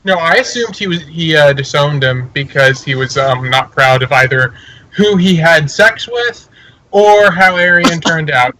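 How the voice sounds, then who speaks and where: A man's voice: a little high, lively intonation, a little slow, bright, forceful tone. A man chatting casually as a player in a tabletop game, on a slightly noisy mic with clicks. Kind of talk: casual chat.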